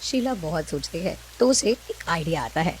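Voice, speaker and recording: A woman's voice: on the low side, expressive, normal speed, slight background noise. A woman reading scripted narration for a cartoon family story.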